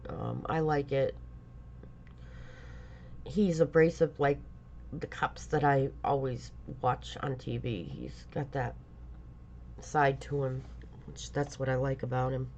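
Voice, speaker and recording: A middle-aged woman talking casually in a personal vlog, halting and rambling, stuffy from a lingering cold. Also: very deep voice, a little mellow, slight background noise. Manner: halting, rambling, many pauses and fillers. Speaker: vlogger.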